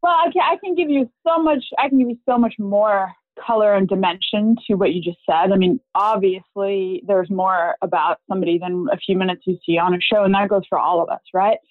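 A woman's voice: mid-range voice, brisk, few pauses, dry recording. Woman guest on a tinny phone line. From Germany. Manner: open, chatty, laughs while telling family story. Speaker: podcast guest, real estate agent. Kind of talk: casual interview talk.

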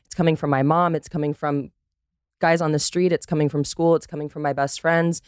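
Podcast guest, woman, clear smooth voice, dry close sound, candid and emphatic, swears freely. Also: low voice, fairly even intonation, fairly quick, a little mellow, no echo. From Costa Rica. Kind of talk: candid casual conversation.

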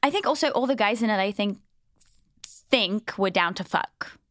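A woman's voice: medium pitch, expressive, normal speed, a bit bright, no echo. Brief: young woman from Switzerland, blunt, opinionated, salty language, casual podcast chat, dry close mic. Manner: blunt, swears freely, opinionated. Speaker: podcast guest, host.

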